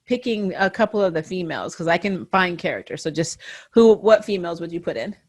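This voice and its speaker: A woman's voice: fairly low pitch, animated, brisk, darkish tone. A woman hosting a casual, enthusiastic chat about comics and anime, often breaking into laughter. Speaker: podcast host and interviewer.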